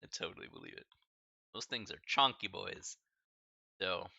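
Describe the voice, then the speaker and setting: A man's voice: sits low, moderate pace, vocal fry, sharp tone, slightly rough, dry recording, muffled recording. A man teaching a live-streamed math class in a casual, chatty way, full of fillers and slang asides. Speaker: math instructor.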